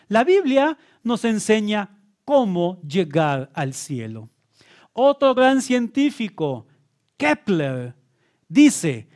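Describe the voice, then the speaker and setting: Male preacher-host for scripted apologetics teaching: high register, very slow, emphatic and deliberate. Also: a little mellow. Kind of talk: scripted teaching monologue with quotations.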